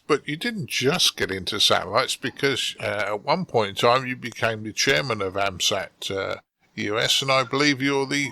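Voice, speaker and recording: A man's voice: medium pitch, creaky, dry recording. A man hosting a podcast, chatty and friendly, asking a guest questions in casual conversation. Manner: friendly, chatty, animated when telling a story. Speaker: podcast host. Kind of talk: casual interview chat.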